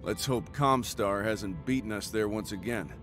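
An actor voicing a determined, driven mercenary commander in a video game, speaking earnestly and decisively. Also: male voice, fairly low pitch, moderate pace, over music, lightly acted. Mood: confident.

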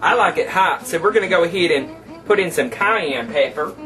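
A man's voice: fairly high pitch, animated, normal speed, pushed and bright. A man playing a campy, thrifty trailer park queen, giving a folksy, tongue-in-cheek home cooking demonstration. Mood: folksy, cheerful instructing. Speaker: cooking show host. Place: small home kitchen. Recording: distant camera microphone, music behind.

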